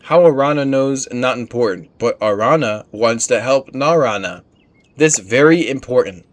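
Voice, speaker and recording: Man as a deliberate little forest spirit. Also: medium pitch, no room sound, close to an everyday voice. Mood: earnest, solemn. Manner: simple broken phrasing, deliberate. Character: small gentle forest spirit, earnest and solemn.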